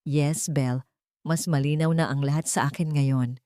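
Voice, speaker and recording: A woman's voice: sits low, steady tone, moderate pace, dark tone, no room sound, clean studio sound, natural voice. A woman voicing a wealthy, commanding man plotting revenge, giving controlled, clipped orders in an audio drama. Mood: falsely agreeable.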